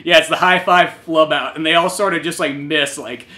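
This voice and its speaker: A man hosting a casual comic read-along commentary, slangy and sweary in an animated way. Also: fairly high pitch, moderate pace. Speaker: podcast host and comic creator.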